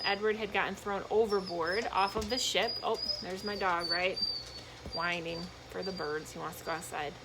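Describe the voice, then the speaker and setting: A woman's voice: mid-range voice, noisy background. A woman reading a children's storybook aloud expressively, with casual explanatory asides to young listeners.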